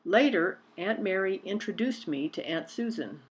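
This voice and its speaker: Female picture book reader, middle pitch, scripted children's story narration. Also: expressive, normal speed.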